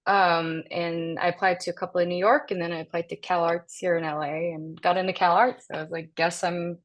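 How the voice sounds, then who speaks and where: A woman's voice: lowish voice, fairly quick. A woman in her thirties, born and raised in Denmark, chatting animatedly as an interview guest, with frequent laughs and restarts. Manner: animated, laughs often, many fillers and restarts. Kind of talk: casual interview chat.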